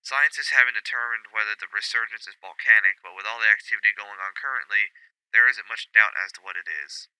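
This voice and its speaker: A grave male news anchor acted out by a man reads a scripted bulletin. The voice is very bright and forceful, crisp and a touch low. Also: fairly quick, no room sound, poor audio. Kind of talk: scripted newscast acting.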